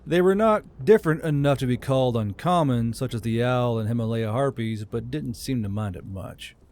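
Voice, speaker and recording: A man's voice: medium pitch, normal speed, darkish tone, slight background noise. A man narrating a fantasy story as an audiobook, as the host of his own channel. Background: background music.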